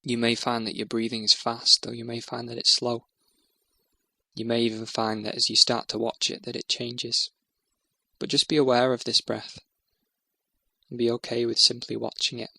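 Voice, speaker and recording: Gravelly, even-toned male meditation guide. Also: mid-range voice, no room sound. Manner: soothing, measured, instructional.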